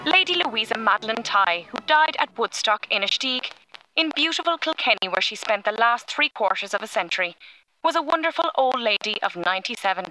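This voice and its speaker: A woman's voice: on the high side, lively intonation, brisk, sharp tone, a little hiss or hum, low-quality recording. A woman performing a formal turn-of-the-century newspaper writer, reading an obituary in projected tones for radio. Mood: formal, admiring. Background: faint music.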